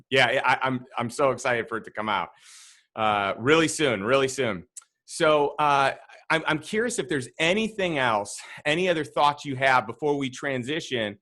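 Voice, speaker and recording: A male podcast host with a raspy, worn-out voice, chatting enthusiastically and rambling a little. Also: medium pitch, expressive, moderate pace, bright, forceful tone. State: raspy, tired voice. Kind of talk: casual interview chat.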